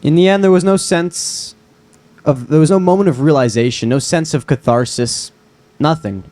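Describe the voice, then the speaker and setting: A man's voice: on the high side, normal speed, a little mellow, dry recording, lightly acted. A man playing a grieving, lovelorn young man in a screenplay table read, with an earnest, reflective delivery.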